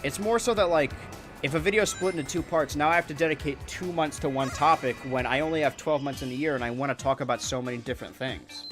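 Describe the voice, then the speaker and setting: A young American man with a monotone voice, chatting loosely while streaming a video game, rambling with many restarts. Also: on the high side, fast, music in the background. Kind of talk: casual gameplay chat.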